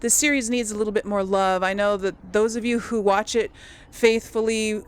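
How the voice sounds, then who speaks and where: A woman's voice: medium pitch, fairly even intonation, normal speed, clean tone. A woman hosting a gaming video with casual let's play commentary, chatting and musing aloud.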